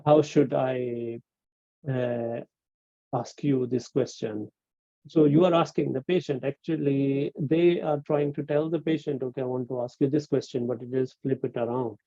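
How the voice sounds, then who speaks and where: Casting note: middle-aged man, Pakistani English accent, gravelly, very dark tone, explaining things loosely and informally. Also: medium pitch, dry recording, highs cut off. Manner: explanatory, loose, many fillers and restarts. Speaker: webinar speaker on medical training. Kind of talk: informal webinar talk.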